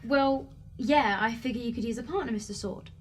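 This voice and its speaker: A woman's voice: on the high side, brisk, lightly acted. An actress playing a feisty, stubborn young woman in an audio drama, challenging and sarcastic. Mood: cheeky, insistent.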